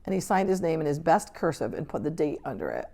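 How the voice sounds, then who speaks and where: A woman's voice: sits low, big pitch swings, quick pace, gravelly, mellow. A woman reading a children's book aloud as a scripted narration. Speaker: reader.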